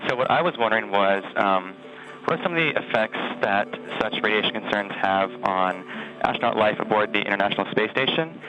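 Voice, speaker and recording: A man's voice: lowish voice, a little fast. A young man from Texas, a student intern, asking a prepared question on a call. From Texas, United States. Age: young adult. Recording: dull phone call, faint music.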